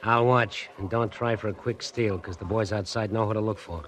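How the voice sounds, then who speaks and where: An actor playing a menacing gangster who speaks in a cold, clipped, threatening way in an old radio drama. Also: male voice, fairly low pitch, fairly even intonation, normal speed, a bit bright, natural voice. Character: menacing male gangster watching the hero. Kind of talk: 1940s radio acting.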